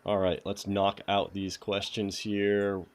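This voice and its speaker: A man's voice: on the low side, monotone, slow pace, a little hiss or hum. A man with a New England accent hosting a casual livestreamed tech tutorial, reading quiz questions aloud and greeting viewers by name. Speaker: host of a cybersecurity lab walkthrough.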